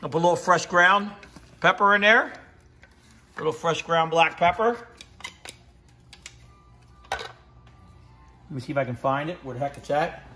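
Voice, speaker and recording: A man's voice: fairly high pitch, moderate pace, pauses often, bright, forceful tone, soft background music. A man in his fifties filming himself on a phone while he cooks at home, raving about fresh ingredients and repeating his catchphrases. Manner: enthusiastic, repeats catchphrases, sniffs and tastes food while talking. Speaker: home cooking vlogger. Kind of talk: casual cooking vlog. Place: home kitchen indoors, then outdoor deck at a grill. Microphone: handheld phone camera.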